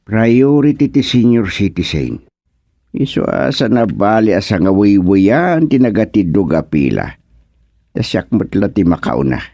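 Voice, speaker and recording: A man's voice: low voice, vocal fry, warm tone, no echo, studio quality, heavily acted. A man with a Filipino accent playing a comic, boastful, easily distracted old senior citizen in a radio comedy. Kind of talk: comedic radio drama acting.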